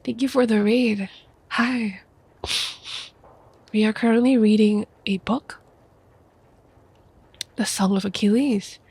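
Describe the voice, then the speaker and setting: Soft husky young woman reading aloud. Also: on the high side, steady tone. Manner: soft, quiet, unhurried reading voice. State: sick with a stuffy nose. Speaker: VTuber streamer. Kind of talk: soft ASMR book reading.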